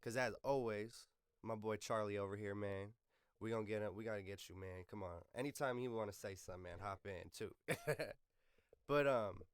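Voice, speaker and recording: A man's voice: lowish voice, moderate pace, clean tone, dry recording, clean studio sound. A man hosting a podcast, chatting in a casual, slangy way like he is talking with an old friend. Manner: slangy, informal, swears casually. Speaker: podcast host. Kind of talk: casual interview chat among friends.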